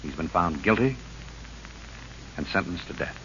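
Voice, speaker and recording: An American man acting a principled, troubled governor in an old radio drama, formal and measured in his delivery. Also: sits low, animated, fairly bright tone. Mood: solemn. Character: principled, troubled state governor, rigid about duty. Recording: muffled, noisy vintage radio recording.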